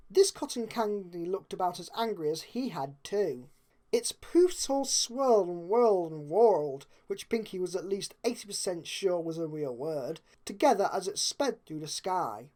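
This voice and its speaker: A woman reading a story aloud as narrator, with expressive storytelling and dramatic emphasis. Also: mid-range voice. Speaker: fan fiction narrator.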